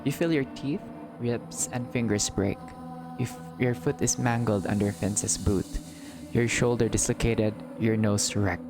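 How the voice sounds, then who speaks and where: A man's voice: medium pitch, measured pace. A man playing through a visual novel, reading the game text aloud dramatically and reacting casually as he goes. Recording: loud music under the voice. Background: game music.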